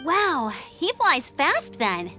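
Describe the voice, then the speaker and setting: Eager, very high-pitched young female knight. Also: wildly swooping pitch, sharp tone, faint music behind, full character voice. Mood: surprised, curious. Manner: spirited, confident, quick to take charge. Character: young female outrider knight, eager, upbeat gliding instructor. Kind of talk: animated video game voice acting.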